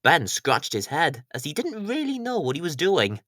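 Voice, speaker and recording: Male children's storyteller, scripted narration, very animated intonation, close dry sound. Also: on the high side, normal speed, no room sound.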